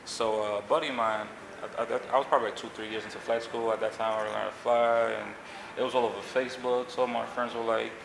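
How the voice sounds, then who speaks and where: A man from Haiti in his thirties, a pilot and jet company owner, telling his story in a relaxed, rambling interview with lots of fillers. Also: mid-range voice, fast, gravelly. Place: outdoors on a city street. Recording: echoey with loud background noise.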